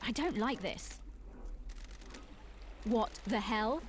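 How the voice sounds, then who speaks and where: A woman's voice: fairly high pitch, slow, noisy background. A woman playing a terse, suspicious investigator in a murder mystery video game. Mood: wary. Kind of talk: short game character voice barks.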